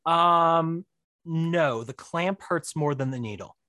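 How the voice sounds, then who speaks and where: A man chatting as an interview guest, wry and self-deprecating, often halting as he explains things. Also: on the high side, sharp tone, dry recording, clean studio sound. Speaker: podcast guest, writer and director. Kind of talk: casual conversational interview.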